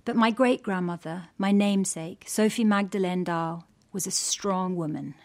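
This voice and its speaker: A woman giving scripted documentary narration in a measured, gently wry storytelling manner. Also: on the low side.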